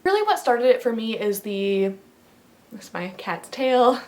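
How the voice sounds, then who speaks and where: A woman's voice: fairly high pitch, sing-song, measured pace, a little mellow. A woman in her thirties from the Midwest, a fashion vlogger giving rambly, casual advice with lots of fillers, stuffy from a head cold. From American Midwest. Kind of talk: casual chatty vlog.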